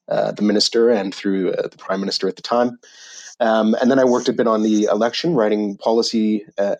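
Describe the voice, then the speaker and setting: A middle-aged man from Canada being interviewed on a podcast, speaking in a measured way with many pauses and fillers. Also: fairly low pitch, a little mellow. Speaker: guest, author and UN official. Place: office in Jerusalem.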